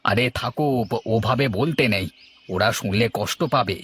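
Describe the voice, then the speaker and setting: A man's voice: mid-range voice, moderate pace, fairly bright tone, husky. Man acting a mild, concerned village uncle. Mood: calm, concerned. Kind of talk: amateur cartoon dubbing.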